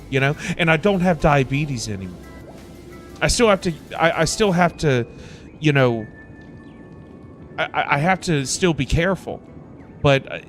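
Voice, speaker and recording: A man's voice: mid-range voice, fairly bright tone. A man hosting a livestream, chatting casually in a rambling way and repeating phrases for emphasis. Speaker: livestream host. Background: music playing in the background.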